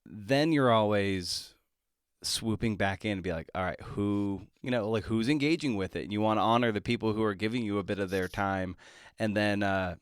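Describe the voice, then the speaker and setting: Clear-voiced male podcast host. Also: lowish voice, moderate pace, no room sound, clean studio sound. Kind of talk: casual interview conversation.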